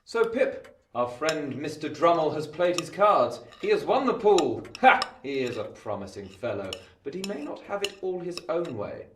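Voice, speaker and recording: A shrewd, commanding lawyer for a radio play. His voice is fairly high and swings widely as he declaims imperiously. It is heard in an echoey space with some noise behind. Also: very animated, moderate pace, distant mic. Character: domineering, shrewd male lawyer, commanding host. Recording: echoey room, off mic, background noise.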